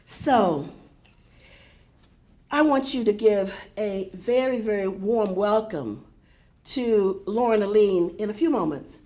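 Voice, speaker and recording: An older woman directing a poetry center, giving chatty welcoming remarks with a big voice and many fillers to a live audience in a university hall. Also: medium pitch. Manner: warm, chatty, unhurried with frequent fillers.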